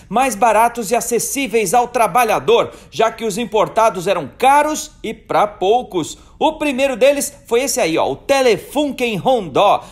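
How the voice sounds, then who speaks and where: A presenter narrating history informally, with chatty, emphatic asides to the viewer. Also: mid-range voice. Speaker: video presenter.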